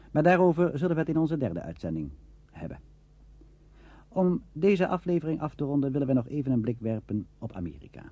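A man presenting a scripted radio documentary in a formal, measured and expressive manner. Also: mid-range voice, breathy edge, dry recording, lo-fi sound.

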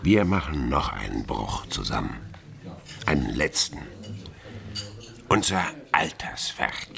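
An actor playing a wily, commanding older police commissioner near retirement in a radio crime play, with a gravelly voice. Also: male voice, very deep voice, big pitch swings, takes it slowly, fairly bright tone, heavily acted. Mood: sly, assured.

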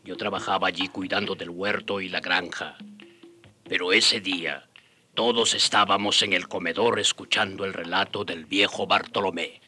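A man plays a reminiscing worker narrating a radio drama. His fairly low voice tells the tale in a measured way, with music strongly present underneath. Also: steady tone, natural voice. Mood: reflective.

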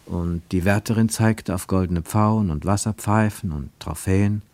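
A man narrating a radio drama in a measured, deliberate storytelling manner, recorded in a studio. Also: low voice, a little mellow, dry recording. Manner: measured, deliberate storytelling with slowed emphatic phrases.

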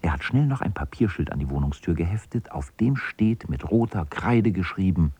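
A man narrating a radio play as a calm storyteller in a scripted style. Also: sits low, moderate pace, slightly rough, no echo.

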